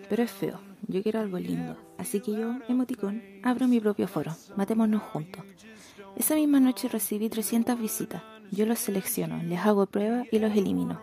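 A woman acts a morbid Chilean teenage girl in a radio play. Her voice is soft and mellow at a middle pitch, confessing matter-of-factly with music underneath. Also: natural voice. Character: morbid, resigned teenage girl running an online forum.

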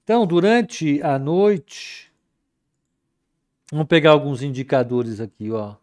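A man hosting a casual live market commentary, relaxed and chatty, reading viewer comments aloud. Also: a little high, slow. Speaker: market commentary livestream host.

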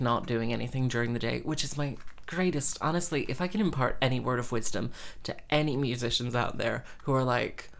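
A young woman in her twenties, a musician chatting in a rambling, self-mocking way with lots of fillers. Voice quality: says she has a squeaky voice. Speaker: musician and vlogger. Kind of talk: casual rambling monologue.

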